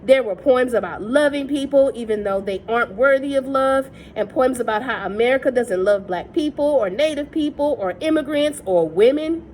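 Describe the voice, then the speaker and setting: A woman reading a novel aloud in a steady, measured first-person voice. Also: sits high, a little hiss or hum. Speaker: reader.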